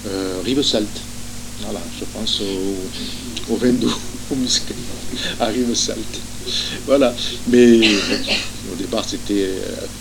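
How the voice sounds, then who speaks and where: A man's voice: on the low side, steady tone, slow pace, creaky, long pauses. An older man from Spain giving a hesitant, reminiscing talk full of fillers and restarts, telling stories from his childhood. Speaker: guest speaker, former academic and author. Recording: noticeable background noise and hum.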